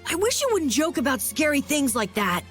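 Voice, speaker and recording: Earnest nervous little boy in an anime game, high and bouncy, music under it. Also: sing-song, fairly quick. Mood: worried. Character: earnest, anxious young boy adventurer.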